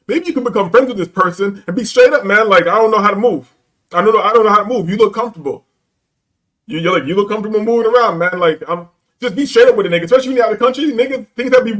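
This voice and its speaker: A man's voice: sits high, a bit bright. A man hosting a reaction stream, talking bluntly in profane street slang. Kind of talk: casual reaction commentary.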